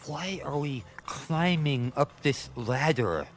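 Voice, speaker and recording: An actor in a radio play voicing a mild, naive, bumbling sidekick with a hesitant manner. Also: male voice, fairly high pitch, animated, takes it slowly, soft background music. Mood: puzzled, mild.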